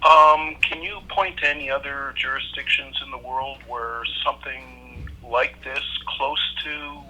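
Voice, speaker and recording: Guy reporter on a press call, super bright sharp voice, stumbles and ums a lot, slightly noisy line. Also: medium pitch, fairly even intonation. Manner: halting, heavily hesitant, circling phrasing. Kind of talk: asking questions on a press conference call. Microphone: telephone.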